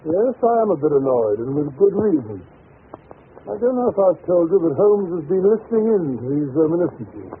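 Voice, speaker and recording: A man playing a genial, mildly exasperated gentleman companion in a 1930s radio drama. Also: fairly high pitch, big pitch swings, brisk. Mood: mildly annoyed. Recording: scratchy, tinny 1930s radio recording with hiss.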